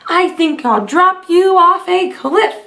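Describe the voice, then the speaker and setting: A woman's voice: very high-pitched, slow pace, gravelly, voice pushed up, exaggerated voice. A woman voicing an enormous male giant from a storybook, a blustering bully who is also a mama's boy. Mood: boastful bullying. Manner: loud, blustering. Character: enormous male giant, boastful bully, cowed by his mother.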